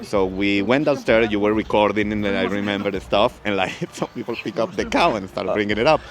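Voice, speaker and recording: A man's voice: on the low side, a little fast. Laughing man telling a trip story. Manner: laughs often, loose filler-heavy storytelling. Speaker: travel companion recounting a trip. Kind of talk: casual conversation.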